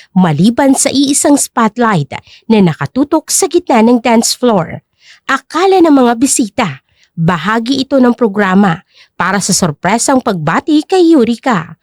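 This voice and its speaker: A woman's voice: high-pitched, darkish tone, no echo, clean studio sound. A woman narrating a scripted story in a dramatic storytelling style.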